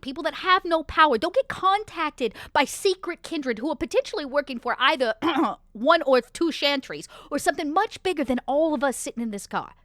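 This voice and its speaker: A woman's voice: sits high, a bit bright, dry recording, clean studio sound. A woman playing a tabletop roleplaying game, improvising in character with emphatic explanations and pointed questions.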